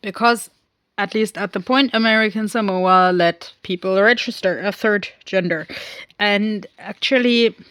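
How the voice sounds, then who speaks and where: A woman recapping a film in a casual, rambling way, with many pauses and fillers. She speaks English as a second language and often goes off on tangents. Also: medium pitch, a bit bright, dry recording. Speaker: film reviewer.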